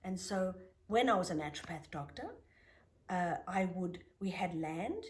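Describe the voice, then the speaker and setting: A middle-aged woman whose mother tongue is Greek, telling reflective stories in an interview with emphatic rises and soft whispered asides. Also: on the low side, expressive, normal speed. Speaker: guest, spiritual healer.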